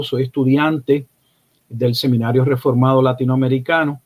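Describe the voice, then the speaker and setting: A man, a pastor answering interview questions in a measured way, stringing phrases in series. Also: medium pitch, a little mellow, breathy edge. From Puerto Rico. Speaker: pastor, guest.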